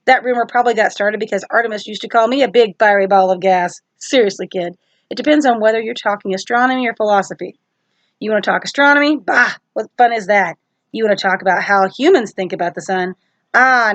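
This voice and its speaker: A woman's voice: mid-range voice, a bit bright, no room sound, close to an everyday voice. Woman voicing a breezy, cocky teenage sun god.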